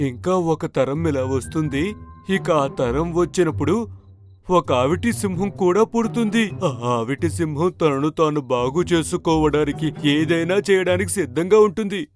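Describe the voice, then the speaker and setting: A man voicing a wise old bear in a children's cartoon fable, telling things in a measured storytelling manner. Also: a little high, close to an everyday voice. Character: wise old bear, elder of the forest animals. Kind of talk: animated children's story acting.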